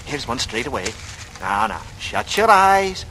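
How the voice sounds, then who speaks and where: An actor playing a soothing young servant with hidden menace, coaxing in rustic working-class speech, in a radio drama. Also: male voice, medium pitch, sing-song, a little slow, pushed and bright. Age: young adult. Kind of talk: 1940s radio acting. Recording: noisy vintage radio recording.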